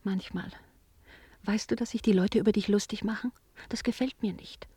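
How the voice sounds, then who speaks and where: An actress playing a coy, seductive married village woman who feigns innocence in a hushed, breathy voice, in a German radio play. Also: mid-range voice, steady tone, normal speed, no echo, close to an everyday voice. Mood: dreamy, flustered. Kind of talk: German radio play acting, intimate dialogue.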